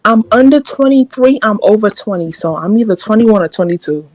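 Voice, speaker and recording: A young woman, hoarse this early morning, chatting on an online panel in a brash, blunt, playful way. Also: mid-range voice, expressive, a little fast. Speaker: panel guest. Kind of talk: casual panel chat.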